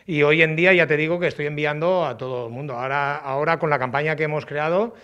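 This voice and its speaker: Fairly high male voice, Alicante Spanish accent, halting potter's explanation, repeated words, mask-muffled. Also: sharp tone, clear, resonant tone. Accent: southeastern Spanish, Alicante. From Agost, Alicante province, Spain. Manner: halting, repeats words, frequent tag questions. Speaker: artisan potter, interviewee. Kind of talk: informal on-location explanation. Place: indoors in old pottery workshop and brick kiln. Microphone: handheld reporter's microphone.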